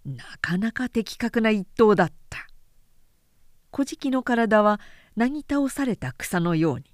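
A woman narrating a literary period story as an audiobook, in a measured, dramatic storytelling manner. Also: on the high side, big pitch swings, dry recording, clean studio sound. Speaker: narrator, announcer.

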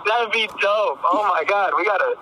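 A man's voice: high voice, normal speed, sharp tone, slight background noise, rough recording. A man talking casually and bluntly as an interview guest, slangy and prone to swearing, on a call from a car. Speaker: music artist, interview guest. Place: in a car while driving.

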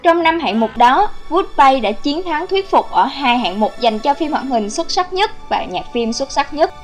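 High-voiced woman narrating over music. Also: lively intonation, unhurried. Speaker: host narrator of a film countdown video. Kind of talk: scripted narration. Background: background music.

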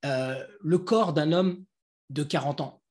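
A man's voice: a little high, slow, darkish tone. A man hosting a casual podcast, asking long, rambling questions with many fillers. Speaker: podcast host.

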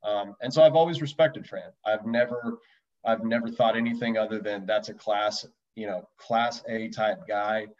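A man's voice: on the low side, fairly even intonation, normal speed, a little mellow. A man reminiscing casually as an interview guest in a conversational way. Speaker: interview guest, former relief pitcher. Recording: dull lo-fi audio, distant from mic.

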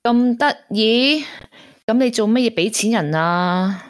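A woman's voice: a little high, expressive, takes it slowly. An actress playing a jealous, sceptical girlfriend in a radio drama, with a Hong Kong accent and pointed questioning manner. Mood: suspicious. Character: jealous, sceptical woman questioning her boyfriend. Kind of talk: Cantonese radio drama acting.

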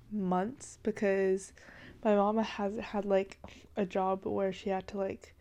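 A woman's voice: mid-range voice, warm tone, slight background noise. A young woman from Washington state hosting a podcast, chatting casually about her life with lots of "like" and "literally", a little congested from allergies. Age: young adult. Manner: rambling, full of "like" and "literally", with giggles. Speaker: podcast host. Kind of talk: casual chat. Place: her dark bedroom at night.

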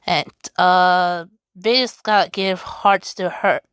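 Halting, chatty woman podcast host. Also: lowish voice, fairly even intonation, a bit bright, smooth, dry recording, clean studio sound. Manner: halting, filler-heavy, chatty. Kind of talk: casual chat reading news and tweets.

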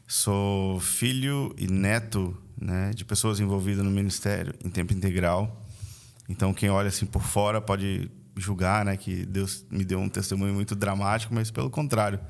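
A man's voice: deep voice, fairly even intonation, normal speed, vocal fry. A man in his late thirties from Vitória, Brazil, with an Espírito Santo accent, a podcast guest who tells his story in an earnest, reflective way. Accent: Brazilian Portuguese, Espírito Santo (capixaba). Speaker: guest, missionary and church leader. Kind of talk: conversational podcast interview.

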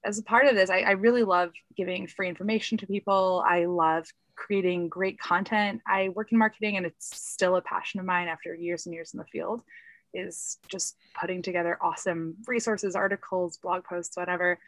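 A woman's voice: on the low side, steady tone, moderate pace, fairly bright tone, no echo, rough recording. An American woman, a podcast guest, chatting over a video call in an upbeat way. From United States. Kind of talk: casual podcast chat. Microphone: recorded over video call.

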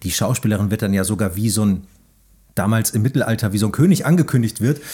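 A man with a lowish, dark, husky voice hosts a film discussion. He speaks casually and slips into quoted dialogue as he recaps. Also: fast. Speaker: podcast host.

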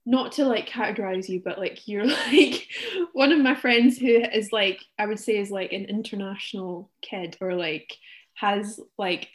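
A woman's voice: mid-range voice, measured pace. A woman hosting a casual podcast chat, peppering her questions with lots of "like". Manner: filler-heavy, lots of "like". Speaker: podcast host.

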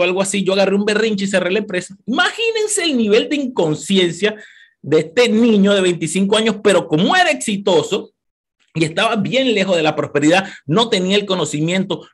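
A man in his thirties with a Venezuelan accent, giving an emphatic motivational talk to an audience. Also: breathy edge. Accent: Venezuelan Spanish. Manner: emphatic, rising to high passionate peaks; repeats words, talks straight to the listener. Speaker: motivational speaker.

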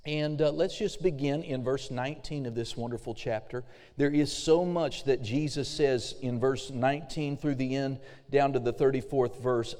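A middle-aged male pastor preaching a fervent sermon with frequent amens, his voice slightly hoarse and a little raspy. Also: mid-range voice, mellow. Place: church sanctuary. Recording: long hall reverb.

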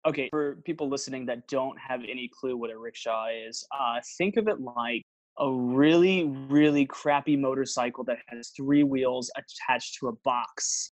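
A man's voice: medium pitch, normal speed, no echo. Chatty young man on a dry mic. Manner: rambling storyteller, many fillers and restarts. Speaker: travel vlogger, guest. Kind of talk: casual interview chat.

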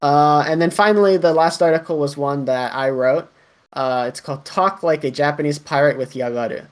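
A man's voice: a little high, normal speed, smooth. A man co-hosting a casual anime podcast, rambling through his points with lots of fillers, restarts and "like". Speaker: podcast co-host.

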